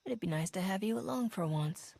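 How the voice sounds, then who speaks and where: Firm, determined hero. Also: female voice, mid-range voice, animated, a little fast, gravelly, dark tone, slightly rough. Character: determined woman hero, direct and resolute. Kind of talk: video game voice acting.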